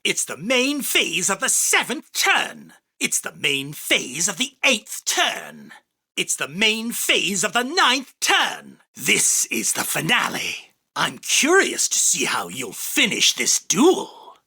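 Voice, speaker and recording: Arrogant anime duelist king, boastful, husky and bright-edged, slow grand declamation, game battle lines. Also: high-pitched, wildly swooping pitch, full character voice. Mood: announcing. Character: arrogant, theatrical self-proclaimed king of card duels. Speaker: voice actor.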